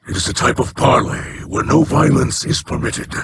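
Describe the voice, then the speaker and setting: An actor playing a solemn alien ally who speaks in slow, formal, measured sentences, in a noisy game audio rip. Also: male voice, mid-range voice, vocal fry, lightly acted. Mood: grave.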